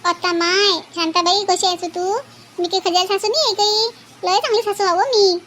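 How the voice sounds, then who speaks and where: Squeaky, teasing cartoon mother-in-law. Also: steady tone, normal speed, a bit bright, a little hiss or hum. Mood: playful, teasing. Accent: Varhadi Marathi. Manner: teasing, bantering. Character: playful, good-natured village mother-in-law. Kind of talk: amateur cartoon comedy dialogue.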